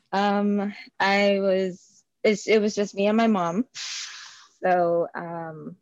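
A woman's voice: mid-range voice, drawn-out words, darkish tone, dry recording, lo-fi sound. A woman, talking as an interview guest, hesitant with many fillers and frequent laughs. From Bahamas. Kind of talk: casual interview.

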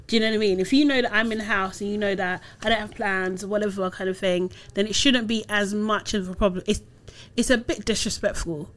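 A woman's voice: medium pitch, steady tone, fairly quick. A woman chatting casually with friends, playful and teasing, often laughing. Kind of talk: casual chat among friends.

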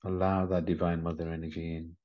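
A man leading a guided meditation in a gentle, soothing manner, with short unhurried instructions. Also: low voice, little pitch movement. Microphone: video call. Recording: slightly muffled video call audio.

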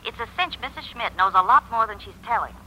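Very high, piercing eager reporter. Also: male voice, animated, fast talker, sharp tone, full character voice, poor audio. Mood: urgent. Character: eager, pushy male newspaper reporter phoning in. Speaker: radio drama actor. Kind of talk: 1950s radio drama acting.

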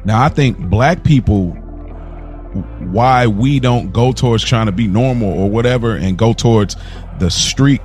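A man's voice: mid-range voice, a little slow, a little mellow, faint music behind. A man co-hosting a podcast, speaking earnestly in long run-on stretches and repeating himself as he builds a point. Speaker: podcast co-host. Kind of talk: casual chat.

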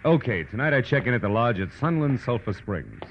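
An actor playing a hard-boiled, sardonic private detective with an American accent, in the style of a 1940s radio crime drama. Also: male voice, medium pitch, very animated, brisk, noisy background. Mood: wry, resigned. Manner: wry, sardonic, tough. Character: wry, hard-boiled male private detective, worldly and flirtatious. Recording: dull old radio recording.

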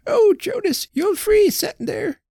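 A woman's voice: very high-pitched, animated, unhurried, dark tone, no room sound, clean studio sound, pitched above the performer's own voice, exaggerated voice. A man voicing a frail, frightened old farm wife who pleads anxiously with her husband. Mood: anxious pleading. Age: older. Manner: pleading, fluttery.

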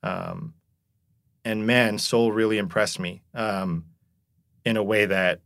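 A man's voice: on the low side, slow pace, vocal fry, a bit bright, dry recording, clean studio sound. A man who grew up in Korea, a podcast guest chatting casually and rambling with lots of filler words. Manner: rambling, heavy use of "like" and "you know". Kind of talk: casual conversation.

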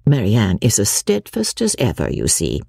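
A woman voicing a sensible, composed young woman in a story, reasoned and gently ironic. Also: extremely low pitch, huge pitch swings, moderate pace, vocal fry, husky, dry recording, clean studio sound, exaggerated voice. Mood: wry. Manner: reasoned, wry.

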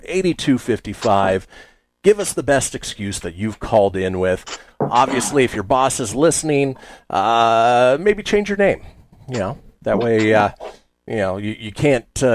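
A man hosting a live radio talk show with an animated broadcast delivery. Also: medium pitch.

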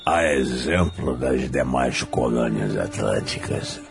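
Very deep, gravelly old demon. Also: male voice, lively intonation, over music, full character voice. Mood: musing, evasive. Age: older. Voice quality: gravelly, with bouts of coughing. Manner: slow, crude, slangy drawl. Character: small, repugnant old male demon, foul-mouthed, muddled, cheeky. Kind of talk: dramatized fantasy audiobook acting.

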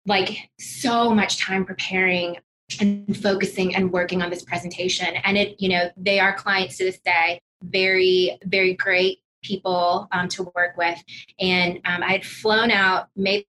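A woman's voice: fairly low pitch, little pitch movement, unhurried, rough recording. A woman speaking as an interview guest, telling personal stories conversationally with frequent "you know" asides. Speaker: podcast guest, financial services executive.

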